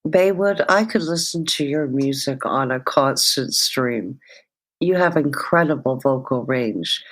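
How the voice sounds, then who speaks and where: A woman's voice: extremely low pitch, unhurried. A woman hosting a podcast, reading scripted introductions and putting questions to guests. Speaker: podcast host.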